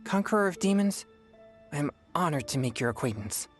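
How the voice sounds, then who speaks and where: A man's voice: on the high side, sing-song, moderate pace. A man voicing an earnest, respectful teenage boy exorcist in a video game, polite and formal. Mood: earnest, admiring. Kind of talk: animated video game acting. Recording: music under the voice.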